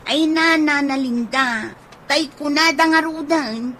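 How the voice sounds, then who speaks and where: A woman's voice: sits high, slow pace, pushed and bright, a little hiss or hum, exaggerated voice. A woman with an Ilocano accent playing a perky, inquisitive young neighbor in a radio comedy drama. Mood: chatty, curious.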